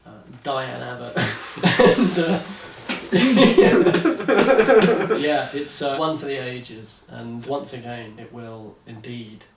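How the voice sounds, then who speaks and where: A man's voice: mid-range voice, lively intonation, extremely slow, gravelly, noisy background. Guy doing jokey book promo banter, kinda laughing as he talks, echoey room, bit far from mic. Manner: jokey, sarcastic, often laughing through words. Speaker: show co-host promoting books. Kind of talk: casual comedic banter, mock sales pitch. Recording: roomy echo, distant microphone.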